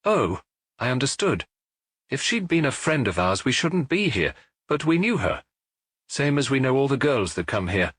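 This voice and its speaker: A man's voice: mid-range voice, big pitch swings, normal speed, a bit bright, husky, studio quality, voice pushed up. A man voicing a guarded, plain-spoken young working girl from a dance hall in an audiobook. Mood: guarded, curt. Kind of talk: audiobook character dialogue.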